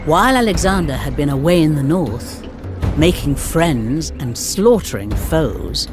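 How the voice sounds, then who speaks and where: Animated female storyteller over music. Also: low voice. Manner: theatrical, measured storyteller. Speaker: narrator. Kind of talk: dramatic scripted historical narration for a game cutscene. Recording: music behind the narration.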